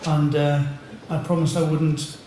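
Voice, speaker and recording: A man's voice: fairly high pitch, little pitch movement, a little slow, dark tone. A man chairing a conference session, giving a short, hesitant introduction with fillers. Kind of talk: brief introduction. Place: large conference hall. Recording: echoey hall, distant mic, some noise.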